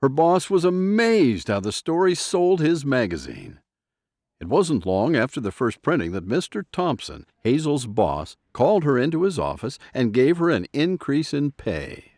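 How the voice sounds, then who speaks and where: A man's voice: mid-range voice, very animated, unhurried, a little mellow, dry recording, clean studio sound. A man narrating a scripted story in a theatrical, suspenseful storyteller's manner.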